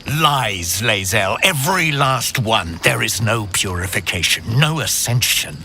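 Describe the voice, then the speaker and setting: An actor playing a solemn githyanki knight and secret rebel in a fantasy game, speaking in a deliberate, formal way. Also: male voice, fairly low pitch, animated, pushed and bright, a little hiss or hum, exaggerated voice. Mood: urgent, grave. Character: grave githyanki knight, a secret rebel against his queen. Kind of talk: dramatic fantasy game acting.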